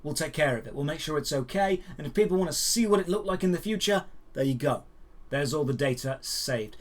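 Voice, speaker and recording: A man with a fairly high-set voice presents gaming news as a host. He delivers scripted commentary mixed with personal opinion. His phrasing is emphatic and measured, with key words stressed deliberately. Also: expressive.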